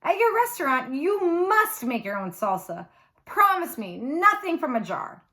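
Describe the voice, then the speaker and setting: Lady reading as a gushy excitable storybook mom, high pitched, loving and emphatic. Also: a little slow, heavily acted. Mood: delighted, insistent. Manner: exclaiming, emphatic. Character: excitable, affectionate Mexican American mother. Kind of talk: children's audiobook read-aloud.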